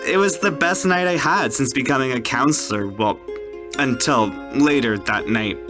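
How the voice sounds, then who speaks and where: A man's voice: medium pitch, normal speed, music in the background, natural voice. A man playing an earnest, jumpy young camp counselor, telling his story casually and boyishly in a horror podcast monologue. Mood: smitten.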